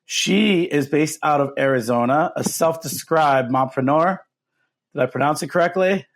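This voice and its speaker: A man's voice: fairly high pitch, normal speed, slight background noise. A man hosting an interview podcast, friendly and a little hesitant, with many fillers. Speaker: podcast host. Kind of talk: casual interview.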